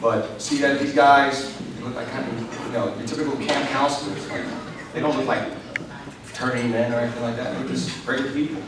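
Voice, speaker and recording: A man's voice: mid-range voice, steady tone, a little fast. Man, middle-aged, rambling keynote speaker; distant, roomy hall sound with noise underneath. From New York, United States. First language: English. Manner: rambling, with frequent "you know" fillers. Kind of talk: conversational keynote speech. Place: large convention hall. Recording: echoey hall from far off.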